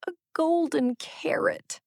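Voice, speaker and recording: A woman's voice: very high voice, very animated, slow pace, vocal fry, a little mellow, dry recording, clean studio sound, voice pushed up, full character voice. A woman voicing a sad, kindly old male badger in a children's storybook, with a sorrowful, pleading manner. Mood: wistful sorrow.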